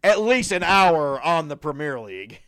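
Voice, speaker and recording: A man co-hosting a casual football podcast, his voice raspy from a cold, often stumbling over and repeating words and laughing. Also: on the high side, big pitch swings, normal speed, fairly bright tone, dry recording. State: sick with a cold. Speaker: podcast co-host.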